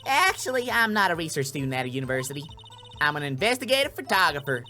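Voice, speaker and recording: A man's voice: fairly high pitch, big pitch swings, fast talker, pushed and bright, soft background music, pitched above the performer's own voice, exaggerated voice. A man voicing a brash, folksy Southern woman photographer in a video game, with a slangy, boastful drawl. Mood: defiant.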